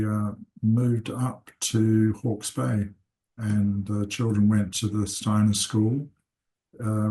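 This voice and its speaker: A man in his seventies, born in England and raised in New Zealand, calmly introducing himself and his life story, pausing often. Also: lowish voice, poor audio. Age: 70s. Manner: slow, unhurried, with frequent "uh" pauses. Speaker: seminar teacher and consultant. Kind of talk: unscripted personal introduction.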